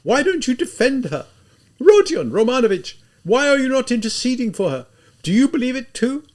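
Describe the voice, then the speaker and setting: A man reading an audiobook, voicing a desperate consumptive woman who shouts hoarsely through her weeping. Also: extremely high pitch, sing-song, normal speed, pitched above the performer's own voice. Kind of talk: audiobook character voice.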